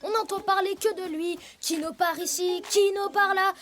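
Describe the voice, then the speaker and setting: A woman voicing a proud, touchy young boy tiger cub in an animated series. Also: very high-pitched, moderate pace, crowd noise. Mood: sulky, huffy. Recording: music behind the voice.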